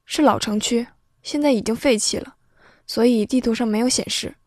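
An eager, curious young student, played by a woman in an audio drama. The voice sits fairly high and is recorded very dry, with no room around it. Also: moderate pace, a little mellow, no echo, lightly acted. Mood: earnest.

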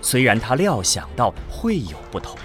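A man's voice: mid-range voice, huge pitch swings, normal speed, a bit bright, soft background music. A man narrating a science fiction radio drama in a scripted storytelling style.

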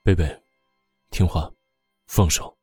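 A cold, commanding family man for an audiobook drama, acted by a man. His voice sits very low with a husky edge, and he speaks curtly and severely, close and dry over faint music. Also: steady tone, takes it slowly, dark tone, dry recording, exaggerated voice. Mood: stern. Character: stern, cold husband and father, suspicious and commanding. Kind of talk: audiobook character acting.

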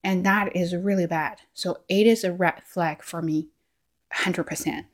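A woman's voice: lowish voice, steady tone, unhurried. A woman with a Chinese accent, whose first language is Chinese, talking earnestly to camera as a language learning vlogger, often saying "like" and "right?" and restarting her sentences. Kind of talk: casual reflective monologue.

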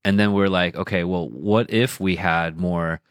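A man's voice: low voice, steady tone, a little slow, clean tone, dry recording, studio quality. A man co-hosting a podcast in casual chat, talking in a rambling way full of "like" and slipping into Mandarin. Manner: rambling, filler-heavy, switches into Mandarin. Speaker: podcast co-host.

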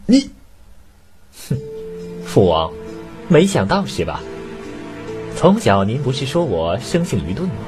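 A man's voice: mid-range voice, wildly swooping pitch, unhurried, long pauses. A man voicing a cunning, resentful young crown prince, mocking and intense, in a Chinese costume radio drama. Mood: mocking resentment. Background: background music.

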